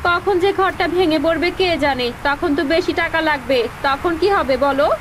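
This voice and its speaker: A woman's voice: extremely high pitch, moderate pace, a bit bright, a little hiss or hum. A woman playing a worried, nagging poor village wife in a cartoon, with an anxious, complaining manner. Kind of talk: cartoon dialogue acting.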